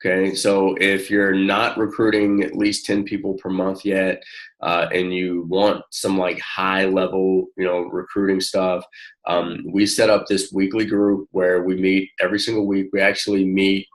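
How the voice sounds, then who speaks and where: A man speaking informally and encouragingly as a coach on a one-on-one call, often halting as he finds his words. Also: low-pitched, completely flat delivery, poor audio. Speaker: network marketing coach.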